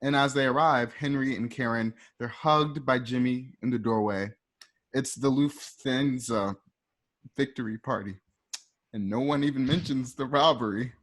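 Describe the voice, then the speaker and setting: A man narrating a scripted radio play, setting each scene in a measured present-tense delivery. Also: mid-range voice, a bit bright.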